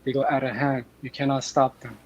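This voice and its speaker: A man's voice: mid-range voice, level tone, a little fast. A man in his thirties from Afghanistan, a guest telling earnest stories over a remote call. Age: 30s. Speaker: podcast guest. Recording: dull remote call audio with faint noise.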